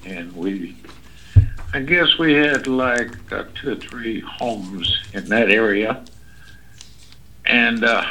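A man, recalling his past in an oral history interview, talking haltingly with many fillers and restarts. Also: lowish voice, fairly bright tone, a little hiss or hum, poor audio. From Kansas City, United States. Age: 80s. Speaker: interviewee, Navy veteran. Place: library interview room.

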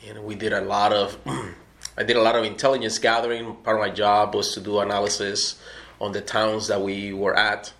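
A middle-aged man from Puerto Rico, an army veteran telling his story in an oral history interview, speaking in a measured way with many pauses. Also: lowish voice, little pitch movement, creaky, a bit bright.

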